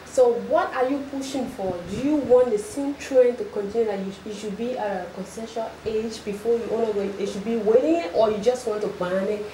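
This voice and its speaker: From across an echoey room, a woman radio reporter presses her question in a fairly high but mellow voice. She sounds distant from the mic, with faint noise underneath. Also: moderate pace, few pauses. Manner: pointed questioning.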